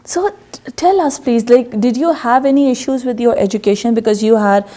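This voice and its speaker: Woman interviewer, soft mellow voice. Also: on the high side, brisk. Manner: interjects frequent short affirmations. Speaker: interview host. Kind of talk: conversational interview.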